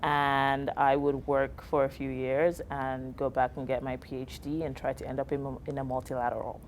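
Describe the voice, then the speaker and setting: A woman's voice: extremely low pitch, flat delivery, normal speed, slight background noise. A young woman from Nigeria, a student guest in an interview, answering in a composed, articulate and dryly witty way. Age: young adult.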